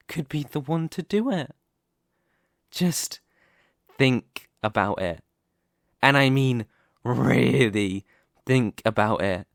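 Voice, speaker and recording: A dominant Demon King played by a man speaks at a slow, deliberate pace in a mid-range male voice. He is smug, mocking and theatrical, coaxing his listener like a villain sure of winning. It is an ASMR roleplay monologue, recorded close and very dry. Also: mid-range voice, dry recording. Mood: goading, persuasive.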